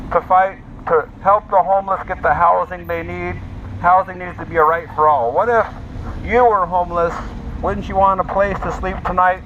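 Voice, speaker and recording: A man giving an impassioned, emphatic advocacy speech with religious appeals and repeated slogans through a megaphone. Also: high-pitched. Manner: exhorting, emphatic, repetitive slogans. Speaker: homeless advocate, street speaker. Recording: noisy, dull megaphone sound outdoors with traffic.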